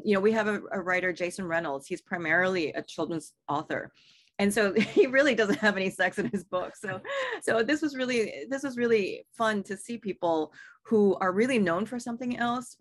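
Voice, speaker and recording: A woman from Singapore speaks as an author in an interview. She is chatty, fills her talk with ums and restarts, and laughs easily. Her voice sits in a middle pitch. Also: lively intonation, brisk. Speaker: author and editor. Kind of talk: interview conversation.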